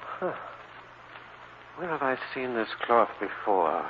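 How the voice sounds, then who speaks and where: A man's voice: medium pitch, big pitch swings, slow. An actor playing an earnest, puzzled man under suspicion, in old radio drama style. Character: puzzled, earnest male suspect. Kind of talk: 1940s radio drama acting. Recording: muffled, noisy old radio recording.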